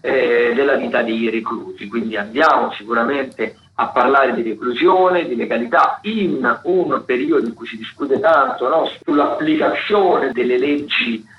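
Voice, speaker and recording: A man hosting a radio show, reading out cultural listings in a halting, digressive, self-correcting way. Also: medium pitch. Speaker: radio host. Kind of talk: unscripted radio listings of theatre and dance events. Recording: roomy, dull lo-fi radio sound, faint noise.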